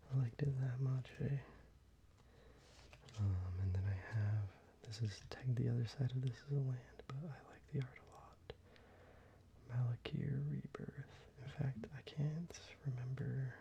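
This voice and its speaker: A young man talking softly in a half whisper, casual and rambling, as an ASMR creator. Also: mid-range voice, gravelly. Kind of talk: soft-spoken ASMR show-and-tell. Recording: slightly muffled, with faint ambient noise.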